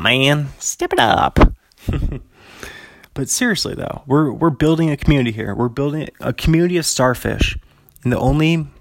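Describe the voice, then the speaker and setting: A man's voice: mid-range voice, dry recording. A man hosting a podcast on his own, rambling in a loose, chatty way and often chuckling between thoughts. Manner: rambling, chuckling, heavy use of "like". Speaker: podcast host. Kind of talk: casual solo podcast monologue.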